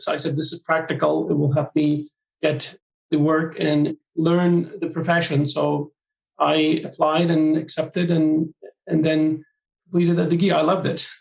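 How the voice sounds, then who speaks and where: A man from Turkey, a guest on an interview podcast, explaining his work in measured, listing phrases. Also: fairly high pitch, vocal fry, roomy sound, rough recording. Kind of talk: interview answers.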